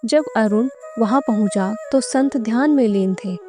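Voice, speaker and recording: A woman's voice: a little high, unhurried, warm tone. A woman reading scripted narration for a moral story as its narrator. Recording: clear background music under narration.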